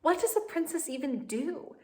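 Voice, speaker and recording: A woman's voice: high-pitched, sing-song, moderate pace, darkish tone, reverberant, voice pushed up. A woman voicing a kind, earnest young princess in a children's storybook, speaking expressively. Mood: unsure.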